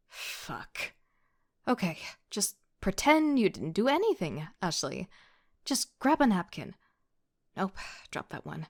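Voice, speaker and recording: A woman's voice: mid-range voice, exaggerated voice. A woman playing an agitated young student who narrates her own story in an audio drama, sardonic and self-deprecating. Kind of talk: first-person audio drama narration with outbursts.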